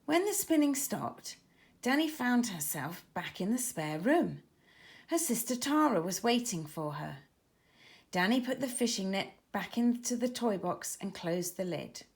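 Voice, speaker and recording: Very animated woman reading a children's story. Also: mid-range voice, measured pace. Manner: dramatic, expressive. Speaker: author narrator. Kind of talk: scripted children's story narration.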